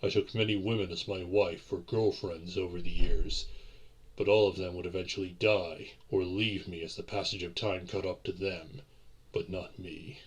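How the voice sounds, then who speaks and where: A man playing a centuries-old vampire lord and courtly boyfriend in a romantic audio roleplay, with formal, old-fashioned diction and a husky voice. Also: sits low, steady tone, moderate pace, low-quality recording. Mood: measured, confiding.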